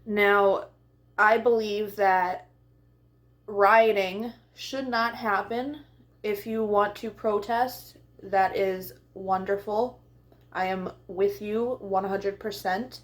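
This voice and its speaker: A woman hosting a children's storytime, speaking in simple, deliberate phrases meant for young listeners. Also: mid-range voice, fairly even intonation. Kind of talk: read-aloud and talk addressed to young children.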